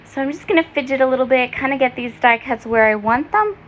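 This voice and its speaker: A woman giving a casual, chatty voice-over of her craft project at home, her voice raspy and hoarse and she often pauses with "um". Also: high voice, fairly bright tone, a little hiss or hum. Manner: chatty and apologetic, with frequent "um"s. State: hoarse, voice partly fading. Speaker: craft vlogger. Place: at home, in bed.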